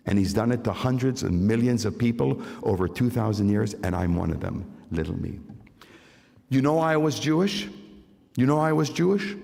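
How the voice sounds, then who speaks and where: A man's voice: mid-range voice, gravelly, a little mellow. An older man giving an emphatic evangelistic testimony in a church, repeating key phrases and speaking directly to his listeners. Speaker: concert pianist giving testimony. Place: large church sanctuary. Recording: long hall reverb.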